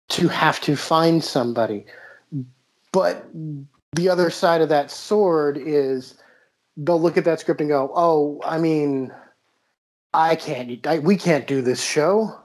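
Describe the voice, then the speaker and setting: A man's voice: a little high, a little mellow, low-quality recording. A man from the United States, a podcast host and playwright, telling stories animatedly with many "you know" fillers. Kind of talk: casual interview talk.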